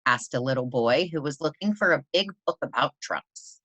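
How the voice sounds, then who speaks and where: A woman reading a children's story aloud in an expressive storytelling style, with deliberate, emphatic phrasing. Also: extremely low pitch, dry recording. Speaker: presenter reading a children's picture book aloud.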